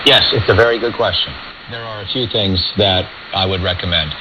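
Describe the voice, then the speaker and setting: An American man giving measured, diplomatic, explanatory answers as a foreign policy expert in an interview. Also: low voice. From United States. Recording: dull lo-fi radio relay with static noise.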